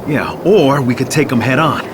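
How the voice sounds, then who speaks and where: A man's voice: mid-range voice, expressive, normal speed, noisy background, natural voice. An actor playing a guarded, determined man on the run in a video game, dry and matter-of-fact. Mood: terse, determined. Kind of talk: video game cutscene acting.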